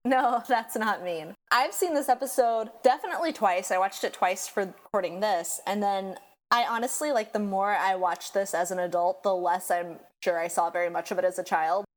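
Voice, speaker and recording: Woman in her twenties, mid-pitched voice, wry, laid-back, casual podcast chat. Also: normal speed. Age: 20s. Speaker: podcast co-host.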